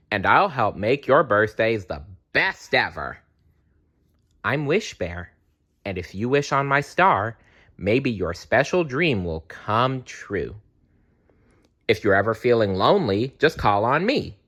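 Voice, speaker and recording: A man's voice: on the low side, sing-song, slow pace, pushed and bright, muffled recording, heavily acted. A man voicing friendly, caring storybook bears in a cheerful, reassuring manner.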